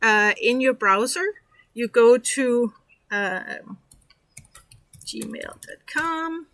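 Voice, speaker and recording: A woman's voice: fairly high pitch, animated, extremely slow, vocal fry, fairly bright tone, a little hiss or hum. A woman from Denmark with a Danish accent, walking viewers through a tutorial in a chatty, encouraging way with lots of fillers. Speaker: online course instructor. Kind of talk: casual screen-share tutorial.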